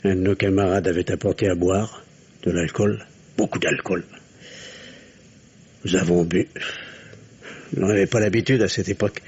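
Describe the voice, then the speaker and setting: An actor playing a remorseful, weary middle-aged father in a radio drama, husky and grave. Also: sits low, darkish tone, muffled recording. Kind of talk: French radio drama acting.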